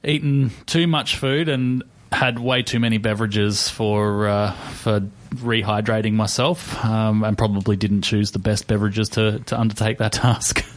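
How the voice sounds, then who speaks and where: A low-voiced man co-hosts a casual motorsport podcast. He talks in a laid-back, meandering way, stacking "um" and "yeah, no" between thoughts. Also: steady tone, unhurried. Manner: laid-back, rambling with many fillers. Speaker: co-host, race driver. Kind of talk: casual chat.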